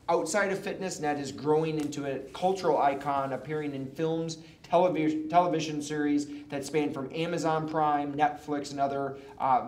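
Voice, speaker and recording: A man's voice: fairly high pitch, a little mellow. Male host, unpolished reader, stumbles and uses fillers; recorded at a distance in an echoey space. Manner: halting, fillers, reads with slips. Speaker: interview host. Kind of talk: reading a guest bio, then casual interview. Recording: roomy, from a distance.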